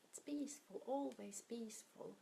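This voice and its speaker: A woman leading a guided meditation in a soft, soothing, unhurried manner, her breathy voice often trailing off toward a whisper. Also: a little high, a little hiss or hum.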